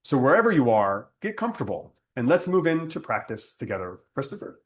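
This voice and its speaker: A man leading a spiritual service, speaking in solemn, deliberate phrases with pauses as in prayer and teaching. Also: medium pitch, sing-song.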